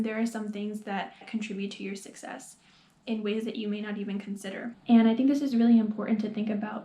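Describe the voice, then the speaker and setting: A woman's voice: a little high, mellow. A young woman, talking earnestly to the camera in a personal vlog. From New York, USA. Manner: earnest, reflective, quick and talkative. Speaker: PhD student vlogger. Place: small room with plain wall, indoors. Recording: echoey room.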